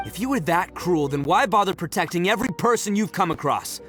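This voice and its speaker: A cocky young brawler hero from a video game, with a very animated voice. He is informal and exclamatory, brash but good-hearted, with music playing behind him. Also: high-pitched, a little fast. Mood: concerned, coaxing.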